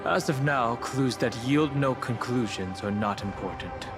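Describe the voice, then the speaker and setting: An actor voicing a stoic young warrior lord in a video game, terse and serious. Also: male voice, mid-range voice, lively intonation, a little slow, over music. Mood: resolute.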